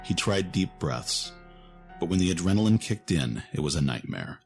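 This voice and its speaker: A man with a very deep voice reads a dramatic story as narrator. The delivery is scripted storytelling, and faint music plays behind him. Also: very animated, normal speed, a little mellow.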